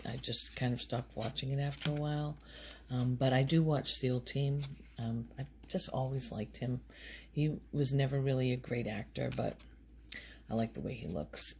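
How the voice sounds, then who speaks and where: A soft-spoken woman talks in an ASMR style, gentle and unhurried. Her voice is mellow and relaxed, with long, slow phrasing. A faint layer of background noise sits under her casual rambling. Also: a bass voice. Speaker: host of a relaxation video channel.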